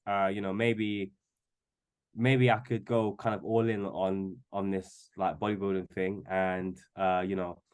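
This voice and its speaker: A man's voice: low-pitched, level tone, measured pace, darkish tone, clean tone. A man in his twenties, a podcast guest, talking casually with many restarts and fillers. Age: 20s. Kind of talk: casual chat.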